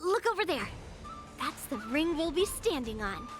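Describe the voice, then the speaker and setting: Game casting: a confident, impatient girl mentor, bright youthful high register, bossy delivery, music clearly behind. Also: big pitch swings, normal speed. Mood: brisk, confident. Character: confident impatient young girl sword master. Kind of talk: anime video game acting.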